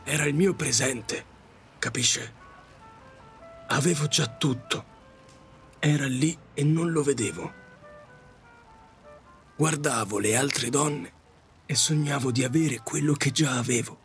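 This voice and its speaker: A man playing a middle-aged widowed taxi driver in an audio drama, confessing his regrets in a halting, repetitive, self-reproaching way. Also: mid-range voice, fairly bright tone, soft background music. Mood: sorrowful. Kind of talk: Italian audio drama monologue.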